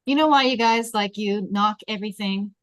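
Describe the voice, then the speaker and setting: A woman's voice: mid-range voice, moderate pace, fairly bright tone, dry recording, lightly acted. A woman playing a judgmental, rich, popular teenage girl in a table read, cutting and condescending. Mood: accusing.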